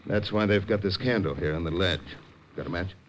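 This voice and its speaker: An actor playing a jovial, sinister office rival who chuckles with false friendliness, in a 1950s radio drama. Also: male voice, low-pitched, brisk, noisy background, close to an everyday voice. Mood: falsely jovial. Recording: old radio broadcast with music.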